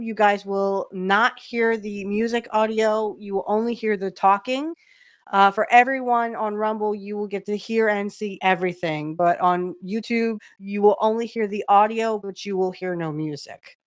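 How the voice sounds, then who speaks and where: A woman podcast host talks unhurriedly in a smooth, clear voice of middle pitch. She chats fondly and giggles often, with plenty of filler ums as she comments along with a video. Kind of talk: casual watch-along commentary.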